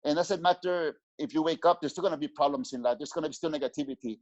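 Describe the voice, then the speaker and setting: A man's voice: a little high, racing. A middle-aged man from Mexico, a spiritual teacher speaking earnestly as an interview guest on a headset in a small home room, often restarting his words. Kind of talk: reflective interview talk. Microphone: headset on video call. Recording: dull lo-fi call audio.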